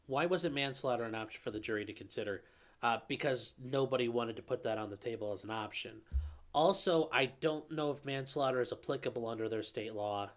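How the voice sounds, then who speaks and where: A man with a middle-pitched, slightly nasal yet smooth voice talks through viewer questions on a live stream. He speaks casually, with plenty of fillers and restarts. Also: brisk. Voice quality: calls his own voice nasally; a viewer calls it velvety. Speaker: lawyer host answering live chat.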